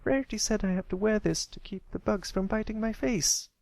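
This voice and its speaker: A man voicing a sweet, excitable, slightly prim young filly pony with a girlish delivery in a read-aloud story. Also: sits high, fairly quick, gravelly, no echo, voice pushed up. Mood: reluctant.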